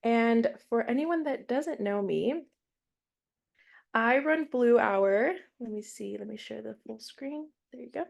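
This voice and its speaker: A woman's voice: a little high, takes it slowly, gravelly. A woman from Mexico teaching her first online class over a video call, casual and chatty with fillers. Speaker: guest instructor.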